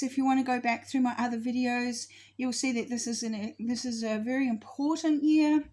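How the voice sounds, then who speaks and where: Woman, 50s, high-pitched, casual rambling vlogger who loses the thread. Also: a little mellow. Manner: rambling, loses her thread and corrects herself. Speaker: astrology vlogger. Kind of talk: casual spoken monologue to camera.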